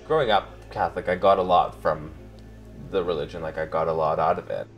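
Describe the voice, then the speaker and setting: A man's voice: low voice, fairly even intonation, fairly quick, faint music behind. A man telling things informally in an interview, in an anecdotal, conversational way. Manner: informal, acts out quoted remarks. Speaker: interviewee in a documentary.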